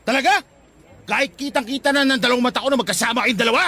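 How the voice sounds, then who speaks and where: A man's voice: very high-pitched, racing, sharp tone, full character voice. A man with a Filipino accent playing a jealous, hot-tempered young boyfriend, scornful and accusing, in a radio drama. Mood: scornful, angry. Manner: confrontational, scornful, insulting. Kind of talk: Tagalog radio drama acting.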